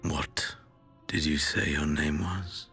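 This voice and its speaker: Game warlord, extremely deep, slow, hushed breathy menace. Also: male voice, little pitch movement, faint music behind, heavily acted. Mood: cold. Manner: low, breathy, near-whispered menace. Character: cold, bloodthirsty male warrior lord. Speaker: video game character. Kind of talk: game cutscene acting.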